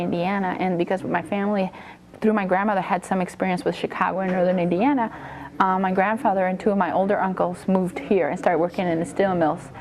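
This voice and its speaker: A woman of ordinary pitch speaks in an oral history interview. She is thoughtful, backtracking to correct details. Her tone is conversational and unhurried. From Mexico.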